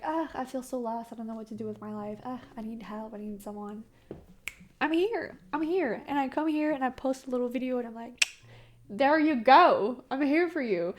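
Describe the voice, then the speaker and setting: Young quebec girl w french accent, kinda high voice, talks fast and excited, lots of ums and restarts. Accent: Québécois French. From Quebec, Canada. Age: young adult. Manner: fast, excitable and rambling, with frequent fillers and restarts. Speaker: tarot content creator. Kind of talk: casual chat to camera.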